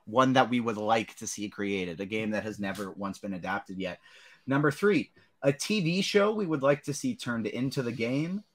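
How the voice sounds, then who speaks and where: A man's voice: medium pitch, lively intonation, normal speed. Casual male podcast host. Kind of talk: casual panel show hosting.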